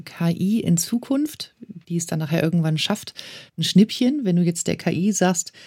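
Low gravelly female interviewer. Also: mellow, no echo, clean studio sound. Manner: measured, lays out background before asking. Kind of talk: interview questions.

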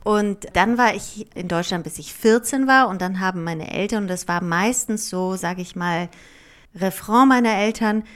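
A woman in her thirties talks openly about her life as a guest in an interview. She was born in Germany, grew up partly in Greece, and her first language is Greek. She speaks in a reflective, unhurried way, with plenty of small fillers and restarts. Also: medium pitch, fairly bright tone. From Germany, raised partly in Greece. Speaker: author and performer, guest. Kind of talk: relaxed conversational interview.

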